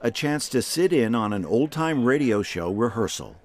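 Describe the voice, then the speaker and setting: A man's voice: medium pitch, very animated, moderate pace. A man giving scripted narration for a regional documentary program. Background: music.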